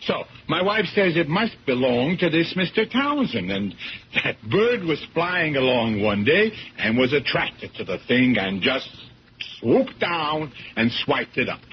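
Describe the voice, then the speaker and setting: Chatty, lively folksy man. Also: a little high, unhurried. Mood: chatty, animated. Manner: rambling, colloquial, animated. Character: chatty, folksy, good-natured fisherman husband. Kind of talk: 1970s radio drama acting. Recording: dull, noisy old radio recording.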